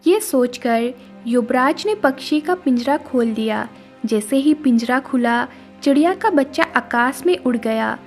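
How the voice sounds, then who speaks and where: A woman's voice: high voice, expressive, measured pace, darkish tone, soft background music. A woman narrating a scripted moral story as the storyteller.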